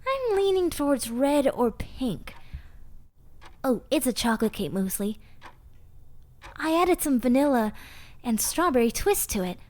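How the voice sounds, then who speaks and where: A woman's voice: sits high, sing-song, a little slow, long pauses, a little mellow. A woman voicing a sweet, eager, scatterbrained monster girl in a roleplay audio drama, chatting and rambling bashfully to the listener. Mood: cheerful, proud.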